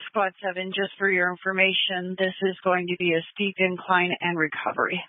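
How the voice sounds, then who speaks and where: A woman's voice: lowish voice, level tone, a little fast, dry recording. Female dispatcher, procedural and confirming. Tinny, narrow-band scanner radio sound, very dry. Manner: procedural, repeats details back. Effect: two-way radio. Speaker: emergency dispatcher.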